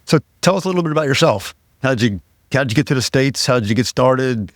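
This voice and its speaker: A man hosting a podcast, chatting casually and asking his guest relaxed questions. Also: mid-range voice, brisk, dry recording, clean studio sound. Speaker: podcast host.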